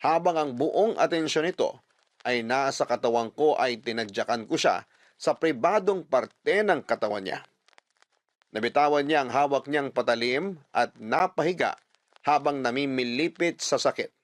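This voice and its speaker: A man's voice: medium pitch, expressive, moderate pace, dry recording. A man with a Filipino accent giving dramatic scripted narration as the storyteller of a radio drama.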